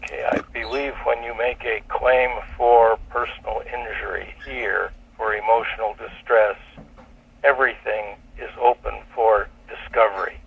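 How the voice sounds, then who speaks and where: A man speaking as an attorney on a telephone conference call, formal and measured as he puts procedural questions. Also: medium pitch, fairly even intonation, creaky, a little hiss or hum. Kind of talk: formal legal phone discussion. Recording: muffled, dull telephone line.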